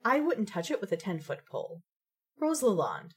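A woman acts out a haughty, articulate young woman in an audio drama. Her voice is medium in pitch and husky. She is dry and wry, with an animated lilt to her precise phrasing. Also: moderate pace. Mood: disdainful.

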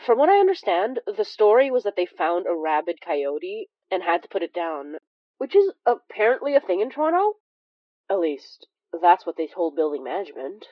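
A woman's voice: on the low side, sing-song, moderate pace, no echo, lo-fi sound. An actress playing a young Filipino immigrant woman who recounts horrors in a conversational, hesitant, wry way for an audio drama. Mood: wry, light. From Philippines. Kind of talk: audio drama first-person confessional narration.

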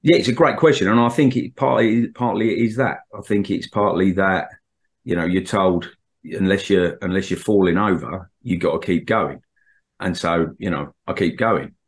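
A man with a very deep voice who sounds throaty from a cold that has hung on for weeks. He talks plainly and matter-of-factly, pausing with ums and repeating words. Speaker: podcast co-host. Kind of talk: casual group discussion.

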